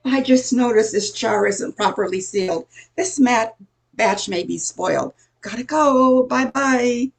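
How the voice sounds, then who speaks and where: An older woman playing a middle-aged busybody neighbor in an amateur radio play, chatty, insinuating and judgmental, with a husky, creaky voice. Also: a little high, unhurried. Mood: flustered.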